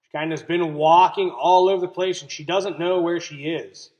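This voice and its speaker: A man's voice: fairly high pitch, steady tone, normal speed, a little mellow, lo-fi sound. A man reading a picture book aloud as a teacher, explaining and putting questions to young listeners. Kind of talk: children's story read-aloud with questions to listeners.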